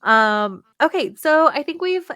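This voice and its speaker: A woman's voice: high-pitched, expressive, measured pace, bright, forceful tone, dry recording. Enthusiastic woman chatting, close dry sound. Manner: chatty, enthusiastic, informal with frequent "like". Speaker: podcast co-host. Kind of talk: casual gaming podcast chat.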